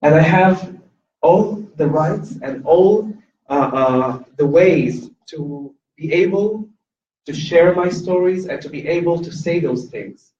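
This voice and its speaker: A man's voice: on the high side, darkish tone. Earnest man speaking in an echoey hall. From Lebanon. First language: Arabic. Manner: earnest and impassioned, with halting restarts and fillers. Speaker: invited speaker at a campus event. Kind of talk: personal testimony talk to an audience. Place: university event hall. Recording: echoey hall, dull and distant.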